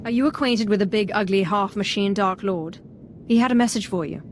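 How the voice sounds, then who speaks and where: An actress playing a bold young dark-side apprentice in a video game, wry and confident. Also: female voice, medium pitch, brisk, slight background noise, natural voice. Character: bold, cocky young female Sith apprentice. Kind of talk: video game dramatic acting.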